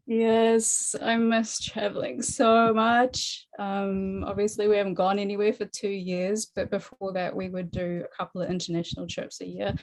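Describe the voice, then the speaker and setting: A woman's voice: mid-range voice, flat delivery, moderate pace, hardly any pauses, a little mellow, a little hiss or hum. A woman in her forties with a New Zealand accent, talking casually as an interview guest over a remote call. She is chatty and easygoing, with plenty of fillers and frequent laughs, in a mid-range voice. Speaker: guest artist.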